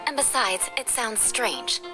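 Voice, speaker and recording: A woman's voice: on the high side, big pitch swings, a little slow. An actress playing a proud young noblewoman in a fantasy game, speaking in a formal, precise, haughty and instructing manner. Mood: instructive, formal. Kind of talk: animated fantasy game voice acting. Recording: music playing behind the voice.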